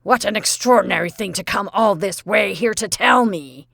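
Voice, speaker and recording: A cranky, hard-of-hearing old colonel, put on by a woman reading a story, shouting his words. He sounds impatient and blunt. The recording is dry and close, with no room sound. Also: mid-range voice, moderate pace, vocal fry, no echo. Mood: brooding. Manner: barking, impatient, loud. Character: deaf, gruff old man, a retired colonel. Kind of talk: audiobook character voice.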